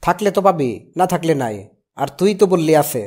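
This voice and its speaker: A man's voice: on the high side, animated, brisk, darkish tone. A man voicing a reluctant, exasperated young uncle in a cartoon, grumbling and reasoning, with a Bangladeshi accent.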